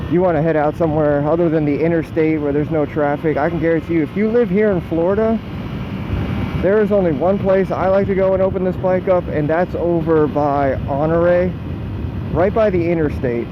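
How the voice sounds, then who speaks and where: A young American man with a fairly high voice talks off the cuff while riding a motorbike. He rambles in a self-deprecating, casually sweary way. The sound is dull and muffled, with some engine and road noise behind him. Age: 20s. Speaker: motorcycle vlogger. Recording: muffled helmet mic with motor vehicle noise.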